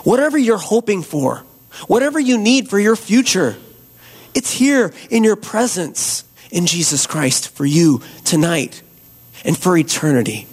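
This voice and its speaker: A hoarse man preaching a Christmas Eve sermon and leading prayer as a pastor, slow and earnest. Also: sits high, sing-song. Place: church.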